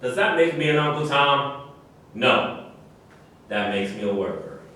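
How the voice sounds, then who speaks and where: A man's voice: medium pitch, lively intonation, a little slow, sharp tone. Man acting a proud, defensive athlete, echoey and distant. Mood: earnest, offended. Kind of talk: staged play reading. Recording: very echoey room, distant mic, faint noise.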